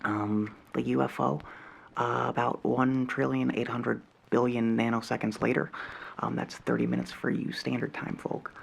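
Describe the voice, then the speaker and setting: A man voicing a nervous, nerdy male alien hobbyist who hesitates constantly in a soft-spoken roleplay. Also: mid-range voice, flat delivery, moderate pace, vocal fry, husky. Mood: awkward earnest. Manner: hesitant, full of ums and uhs.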